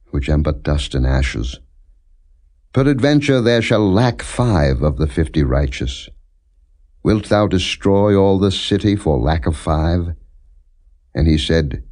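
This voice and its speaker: Guy with a really low voice reading the bible like an audiobook, solemn and formal, not acted out. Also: darkish tone. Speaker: narrator, scripture reader.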